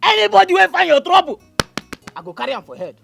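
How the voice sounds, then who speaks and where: A man with a Nigerian Pidgin accent playing a guilt-ridden, volatile villager who rants and then coaxes gently. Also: extremely high pitch, sing-song, quick pace, full character voice. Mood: coaxing. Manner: gravelly, husky, swings between anger and coaxing. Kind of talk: Nollywood drama acting. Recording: film audio with faint music behind.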